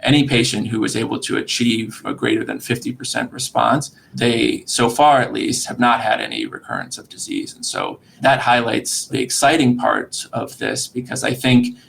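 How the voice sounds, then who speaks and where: A man speaking as a medical expert guest, explaining in a measured, articulate way with a gravelly, creaky voice. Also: medium pitch, big pitch swings, fairly bright tone, slight background noise, rough recording. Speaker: surgeon-researcher guest. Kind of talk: podcast interview explanation.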